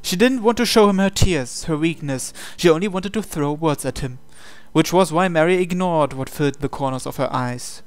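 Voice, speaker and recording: A man's voice: fairly high pitch, normal speed, clear, resonant tone, dry recording, soft background music. A man reading the scripted narration of a visual novel aloud in a dramatic style.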